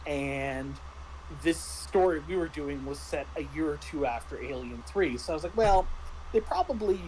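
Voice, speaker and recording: A man's voice: a little high, lively intonation, moderate pace, darkish tone, slight background noise, poor audio. A man talking casually as a game master recapping a tabletop roleplaying session, animatedly retelling his own thoughts. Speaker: game master and panelist on a review show.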